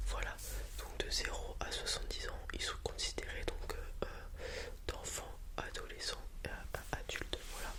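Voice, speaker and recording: A man speaking in a soft breathy whisper, improvising an informal ASMR roleplay explanation with halting, hesitant delivery. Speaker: ASMR roleplayer playing a dragon breeder.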